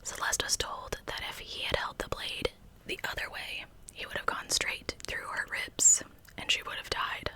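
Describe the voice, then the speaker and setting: A woman's voice: normal speed, pushed and bright. Whispering woman, ASMR storyteller. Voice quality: breathy unvoiced whisper. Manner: conversational narration. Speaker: true crime ASMR host.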